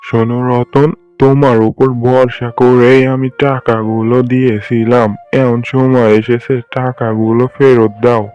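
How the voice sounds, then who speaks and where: A man's voice: mid-range voice, level tone, unhurried, hardly any pauses, a little mellow, clear, resonant tone, lightly acted. A man playing a stern, condescending cartoon landlord who lends money and will not bend. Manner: condescending, firm. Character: stern, unyielding male village landlord and moneylender. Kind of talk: Bengali cartoon voice acting. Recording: dull lo-fi sound, music behind.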